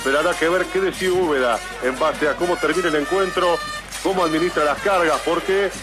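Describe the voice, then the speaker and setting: A man with a fairly high voice gives a sideline report for a radio football broadcast. He speaks informatively with occasional stumbles, and music plays clearly behind him. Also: fairly quick.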